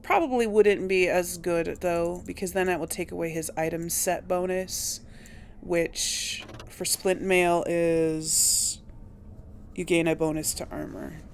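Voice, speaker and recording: Woman, game playthrough host, unhurried, gravelly fry, casual thinking aloud, halting, light background game noise. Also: fairly low pitch, fairly even intonation. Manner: halting, musing, talks to herself.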